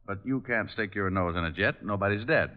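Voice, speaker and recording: An actor playing a cool, flip, deadpan private detective in an old-time radio detective show. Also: male voice, lowish voice, fairly quick, close to an everyday voice. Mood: wry, teasing. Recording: muffled old radio recording, faint music.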